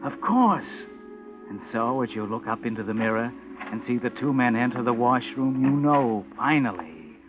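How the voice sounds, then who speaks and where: An actor playing a taunting, urgent narrator in a 1940s radio crime mystery. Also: male voice, medium pitch, expressive, moderate pace, close to an everyday voice. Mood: ominous. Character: ominous, taunting male narrator of a crime tale. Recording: tinny 1940s radio recording, music behind.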